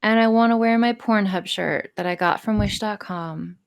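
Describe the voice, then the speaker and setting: A woman's voice: medium pitch, moderate pace. A woman chatting casually as a podcast guest, candid, enthusiastic and free with swearing. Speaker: podcast guest, audio script writer and performer.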